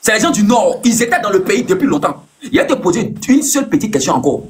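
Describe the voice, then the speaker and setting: A man's voice: high voice, very animated, fast talker, a little hiss or hum. A man hosting a heated live debate, repeating himself and cutting in to press his point. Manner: insistent, repeats words, cuts in. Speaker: live stream host.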